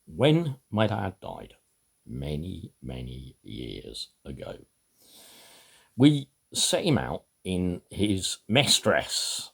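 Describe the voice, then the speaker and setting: An older or middle-aged man talking to camera in a rambling, personal way, gravelly and husky, halting and then suddenly emphatic. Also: very deep voice. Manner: emphatic, halting, with dramatic swells and drops. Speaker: video maker giving a reading.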